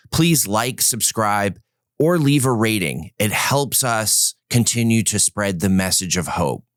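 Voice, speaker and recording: Brief: male podcast host, low voice, dry close sound, upbeat and encouraging. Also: clean tone, no echo. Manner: upbeat, encouraging, quick asides. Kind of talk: interview and podcast promo. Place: podcast studio.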